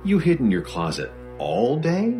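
A man's voice: medium pitch, huge pitch swings, unhurried, warm tone, soft background music. An actor playing a male doctor who questions a frightened witness in an audio horror drama. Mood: probing.

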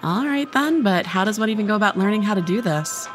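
A woman's voice: medium pitch, expressive, fast, soft background music, lightly acted. A young woman acting a chatty, blunt college student who cares about her friend, in a casual, wry audio drama delivery. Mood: wry, teasing. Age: young adult. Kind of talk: dramatic dialogue in old time radio style audio play.

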